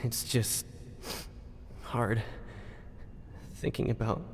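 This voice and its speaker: A dying young warrior showing rueful bravado in a game flashback. The strained voice drags extremely slowly through long reverb over faint noisy ambience. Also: male voice, medium pitch, little pitch movement. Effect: echoing flashback reverb. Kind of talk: dramatic video game acting.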